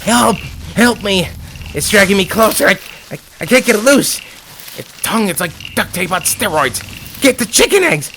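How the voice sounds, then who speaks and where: A man's voice: high voice, big pitch swings, normal speed, pushed and bright, slight background noise, heavily acted, low-quality recording. A man playing a nervous field researcher in an audio drama. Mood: panicked. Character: male field researcher, nervous, panicking when attacked.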